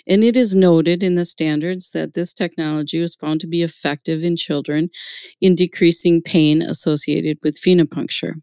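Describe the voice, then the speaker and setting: A woman hosts an interview podcast with a low, resonant voice. Her delivery is presenterly and partly prepared. The sound is close and dry, with very little room around it. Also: darkish tone, smooth, no room sound. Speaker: podcast host. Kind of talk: hosted interview, partly reading prepared text. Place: studio.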